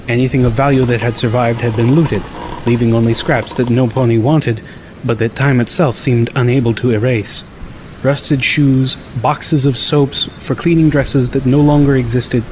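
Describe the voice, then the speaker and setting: A man narrating a first-person story as an audiobook, reading in a measured, dramatic way. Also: medium pitch. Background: music.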